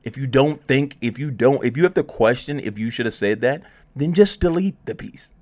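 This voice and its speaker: A man's voice: mid-range voice, normal speed, darkish tone. A man presenting music promotion advice in a casual, conversational way, informally repeating phrases for emphasis.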